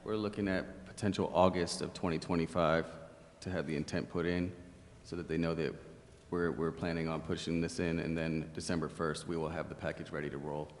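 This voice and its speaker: Male official, flat delivery, explanatory meeting remarks, echoey hall with faint noise. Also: rumbling low, fairly quick, hardly any pauses. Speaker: project official presenting to a city council. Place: council chamber.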